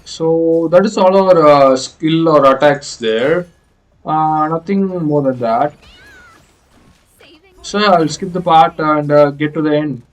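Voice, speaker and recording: A man giving explanatory video game playthrough commentary in a halting, hesitant way. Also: a little high. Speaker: gaming video creator.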